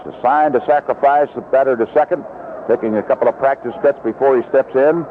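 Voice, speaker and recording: A man calling a baseball game live as a play-by-play announcer, in 1970s radio commentary style from a ballpark. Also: mid-range voice. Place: outdoor ballpark broadcast booth. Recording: lo-fi vintage AM radio broadcast, heavy noise. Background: loud stadium noise.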